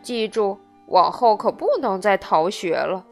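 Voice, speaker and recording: A woman's voice: mid-range voice, measured pace, gravelly, soft background music, voice pushed down. Woman playing a commanding, blustering theatre boss. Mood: softened, admonishing. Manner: loud, commanding, scolding. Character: stern, blustering male puppet-theatre boss with a soft heart. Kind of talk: children's storybook character voice.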